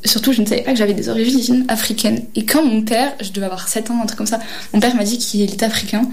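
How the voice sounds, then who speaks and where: Flat-voiced teenage girl, hesitant. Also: fairly high pitch, fast. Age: teens. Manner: hesitant, many fillers, thoughtful. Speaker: high school student interviewee. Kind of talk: reflective testimony.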